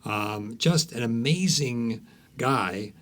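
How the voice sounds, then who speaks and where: A man speaking as an author guest on a podcast, telling stories conversationally in a halting, emphatic way. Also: mid-range voice, lively intonation, fairly bright tone. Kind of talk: conversational storytelling in an interview.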